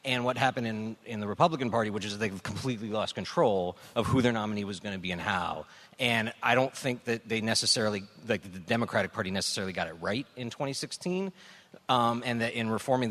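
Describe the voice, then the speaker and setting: A man speaking as a panelist in a measured, analytical way during a political discussion at a live show. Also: on the low side, a bit bright. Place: indoor stage before a live audience. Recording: echoey hall, live stage.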